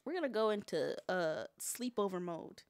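A woman in her twenties co-hosting a casual livestream chat, excitable, laughing loudly and swearing freely. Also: mid-range voice, normal speed, smooth. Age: 20s. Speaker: co-host.

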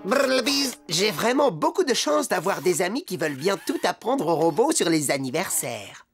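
An actor voicing a polite, naive, excitable robot in a French children's cartoon, speaking in a precise, earnest way. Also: medium pitch, normal speed. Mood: earnest, puzzled. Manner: precise and earnest, with beeps and buzzes. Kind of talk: French-dubbed preschool cartoon acting. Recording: music under the voice.

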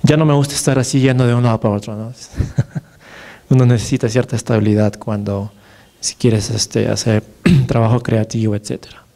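A Peruvian man in his thirties lectures from a podium in a big dark hall. His voice is mellow and his pitch sits mid-range for a man. He keeps it conversational, full of fillers and "right?" tag questions. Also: fairly even intonation, moderate pace, creaky. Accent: Peruvian Spanish. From Peru. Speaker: neurologist giving a lecture. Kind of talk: conference lecture. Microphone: podium microphone, far camera.

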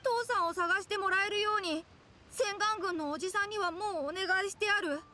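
Young boy, worried and earnest, high, bright, anime game. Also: a little fast, a little hiss or hum. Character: earnest young boy worried about his missing father. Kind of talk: Japanese anime game voice acting.

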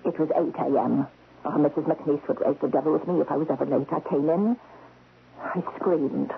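An actress playing a talkative, blunt, folksy housekeeper in a radio mystery drama. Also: female voice, deep voice, moderate pace, creaky. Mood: matter-of-fact. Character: chatty, blunt housekeeper with a gossipy streak. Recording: muffled, noisy old radio broadcast.

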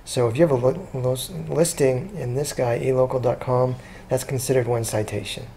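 A man talking casually through a screen-recorded walkthrough as a consultant, chatty and relaxed, with frequent ums and restarts. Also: mid-range voice, moderate pace, slight background noise. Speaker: SEO consultant pitching a business.

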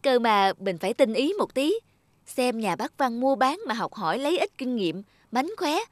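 A woman voicing a shrewd, insistent young village wife in an animated folk tale, with a Northern Vietnamese accent. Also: a little high, moderate pace, no echo, lightly acted. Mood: coaxing. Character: shrewd, calculating young village wife advising her husband.

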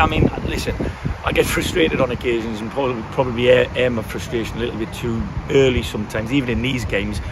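A man in his fifties, a football manager, talking frankly after a match, often restarting his sentences as he thinks aloud, recorded outdoors beside a pitch. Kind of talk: post-match interview. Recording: loud wind and outdoor noise.

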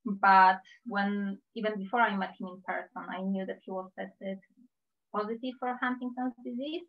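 A woman in her thirties from Poland with a Polish accent, speaking carefully and warmly about her own life in a talk given over a video call. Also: mid-range voice, steady tone, creaky. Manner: careful, measured, heartfelt. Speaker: invited speaker. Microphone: computer mic on a video call. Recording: slightly dull video-call audio.

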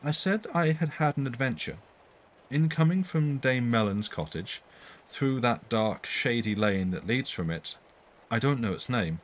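A man's voice: medium pitch, expressive, unhurried, natural voice. A man voicing an earnest, contradictory young woman, a governess in a storybook tale. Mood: recounting.

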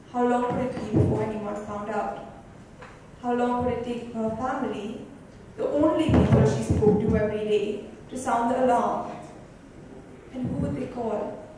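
A woman reading literary narration dramatically before a microphone. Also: a little high. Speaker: reader. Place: club lounge, indoors. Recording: echoey hall, distant mic, background noise.

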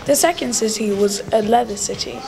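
A woman's voice: a little high, brisk, vocal fry, music in the background. A woman narrating her vlog in a cheerful, lightly mock-serious way. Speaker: vlogger filming and narrating. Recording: strong background noise.

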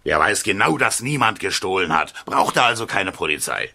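A man's voice: deep voice, expressive, brisk, creaky, sharp tone, heavily acted. An actor playing a brash, jovial businessman in a 1970s radio play, effusive, breezy and sure of himself. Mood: cocksure. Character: brash, gushing American businessman, nosy and jovial.

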